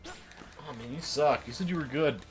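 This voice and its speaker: A man's voice: on the high side, moderate pace, music in the background. A man co-hosting a gaming show, chatting casually over gameplay in a teasing, opinionated, rambling way.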